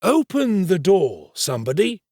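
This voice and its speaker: A man voicing a pompous, self-important male servant in a storybook reading, with drawn-out, emphatic delivery. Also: sits high, huge pitch swings, a little mellow, no echo, clean studio sound, pitched above the performer's own voice, heavily acted.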